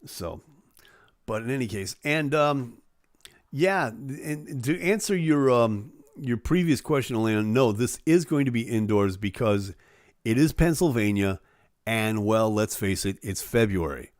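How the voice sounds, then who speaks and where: A man's voice: medium pitch, lively intonation, measured pace, a little mellow, dry recording, studio quality. A man hosting a live stream, chatting casually with viewers in a self-deprecating way while losing his voice. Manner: chatty and self-deprecating, many fillers and asides. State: losing his voice, throat-clearing.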